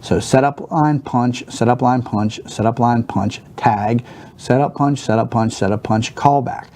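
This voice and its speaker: Monotone man with a dark voice. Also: medium pitch, a little slow, slight background noise. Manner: rambling with many fillers and restarts. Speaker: speaker teaching stand-up basics. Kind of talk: unscripted talk to camera. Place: studio set with a wooden backdrop, seated at a desk.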